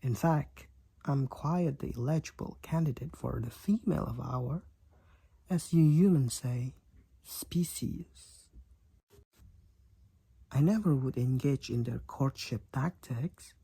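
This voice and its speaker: A man voicing a devoted, protective vampire boyfriend with courtly old-fashioned phrasing and a husky, creaky voice, in an intimate roleplay audio. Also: medium pitch, slow pace, long pauses, dark tone, no echo, full character voice. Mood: playful, charming. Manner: archaic, courtly phrasing, tender endearments. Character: devoted, overprotective young-looking male vampire boyfriend, 128 years old.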